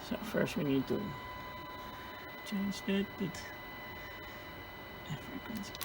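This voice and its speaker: A man in his forties with a Dutch accent, repairing an old radio and thinking aloud step by step with hesitant pauses. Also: high voice, background noise. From Netherlands. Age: 40s. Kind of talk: hands-on repair vlog.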